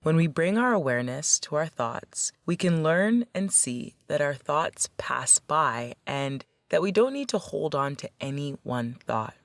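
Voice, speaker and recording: A woman leading a guided meditation as a facilitator, speaking in a gentle, measured, instructional way. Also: low-pitched, expressive, no echo.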